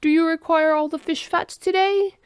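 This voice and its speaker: A woman's voice: very high voice, fairly even intonation, normal speed, no room sound, clean studio sound, voice pushed up. A woman voicing a small, curious male aquatic alien with formal, stilted phrasing in a story. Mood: polite, curious.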